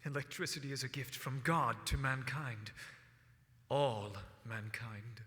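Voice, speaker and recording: An actor playing a proud, idealistic inventor in a stage musical, speaking formally and earnestly. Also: male voice, mid-range voice, unhurried, sharp tone. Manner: formal, precise, courteous. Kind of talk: musical theatre stage acting. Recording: reverberant hall, music behind.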